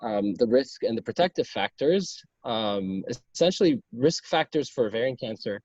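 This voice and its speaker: A man's voice: mid-range voice, lively intonation, moderate pace, darkish tone, breathy edge, dry recording. A man, a doctor giving an informal lecture over a video call, earnest and full of ums and restarts. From Lebanon. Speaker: gynecologic oncologist giving a lecture. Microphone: computer mic on a video call. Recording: dull, dry video call audio.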